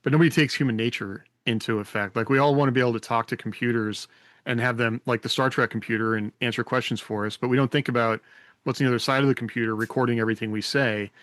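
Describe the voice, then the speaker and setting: A man's voice: medium pitch, fairly even intonation, quick pace, fairly bright tone, no room sound. A man co-hosting a podcast in a casual panel chat. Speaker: podcast co-host.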